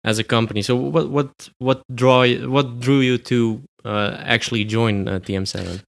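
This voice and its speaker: A man's voice: medium pitch, steady tone, normal speed, vocal fry. A man hosting a podcast interview, asking his questions casually with stammering restarts. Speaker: podcast host.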